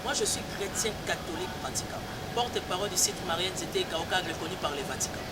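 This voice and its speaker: A man's voice: sits high, quick pace. Emphatic Congolese man over street traffic. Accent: Congolese French. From Congo-Kinshasa. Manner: emphatic, repeats key phrases, mixes in Lingala. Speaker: church spokesperson and political commentator. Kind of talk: heated political debate. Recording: loud street traffic noise.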